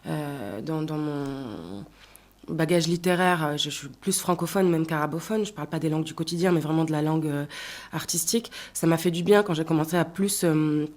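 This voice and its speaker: Thoughtful Tunisian-born woman, lowish voice. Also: normal speed, darkish tone. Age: 30s. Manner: thoughtful, many hesitations and restarts. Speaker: singer-songwriter guest. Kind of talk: radio interview answers.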